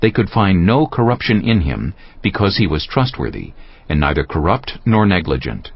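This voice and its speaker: Low-voiced male scripture narrator. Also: very animated, normal speed, breathy edge. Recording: muffled sound with faint music underneath.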